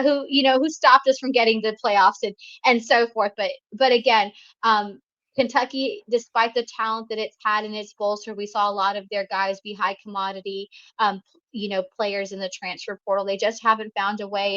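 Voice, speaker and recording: A woman's voice: mid-range voice, a bit bright, no echo, lo-fi sound. A woman co-hosting a college football show, chatting with many fillers and restarts. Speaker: co-host. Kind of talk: sports talk commentary.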